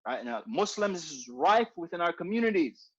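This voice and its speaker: A man's voice: a little high, very animated, normal speed, slightly rough. A man teaching an informal religious class in a conversational way, repeating his points and often asking "right?". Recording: dull lo-fi recording.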